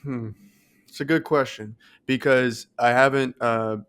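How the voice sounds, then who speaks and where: A man co-hosting a podcast in casual discussion, measured and tentative as he thinks out loud. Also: medium pitch, fairly even intonation, clear, resonant tone. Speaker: podcast co-host.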